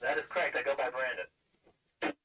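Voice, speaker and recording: A man's voice: medium pitch, normal speed, sharp tone, slightly rough, far from the mic, poor audio. A man talking as a ham radio operator in a two-way exchange, received over the air and replayed through a speaker.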